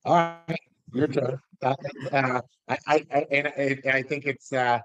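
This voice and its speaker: A man hosting an interview on a video call, asking questions haltingly with many restarts. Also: medium pitch, flat delivery, a bit bright. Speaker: host.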